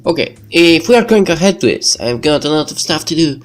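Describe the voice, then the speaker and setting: A man voicing a brisk, bossy young woman pro gamer from a visual novel, urging action, with music behind. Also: fairly high pitch, lively intonation, voice pushed up, close to an everyday voice.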